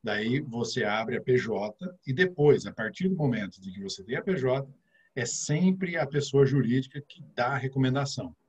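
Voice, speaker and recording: A middle-aged man with a São Paulo interior accent, speaking as a guest financial advisor. His voice is somewhat husky and sits at an average pitch. He explains things patiently, one step at a time, with plenty of hesitation sounds. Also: normal speed. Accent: São Paulo interior Brazilian Portuguese. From interior of São Paulo state, Brazil. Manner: relaxed, explains step by step with frequent filler sounds.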